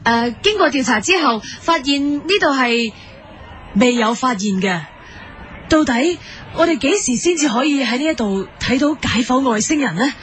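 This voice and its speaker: A woman's voice: high-pitched, lively intonation, moderate pace, fairly bright tone, a little hiss or hum. A woman with a Hong Kong accent playing an earnest, curious television field reporter in a parody radio drama. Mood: formal reporting.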